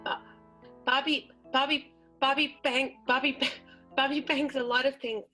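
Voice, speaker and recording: A woman playing a hesitant, evasive wife in an improvised radio soap, halting and repeating herself. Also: high voice, level tone, pushed and bright, faint music behind, low-quality recording. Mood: evasive, flustered. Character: hesitant, evasive 1920s wife with a secret lover.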